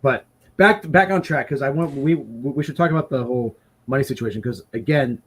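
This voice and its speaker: Excitable young man with a husky voice. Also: mid-range voice. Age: 20s. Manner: excitable, fast, swearing freely. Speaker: podcast host. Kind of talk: casual chat.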